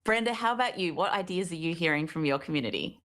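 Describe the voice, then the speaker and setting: A woman's voice: on the low side, expressive, quick pace, a bit bright. A woman moderating a panel discussion, putting upbeat, inviting questions to each speaker in turn.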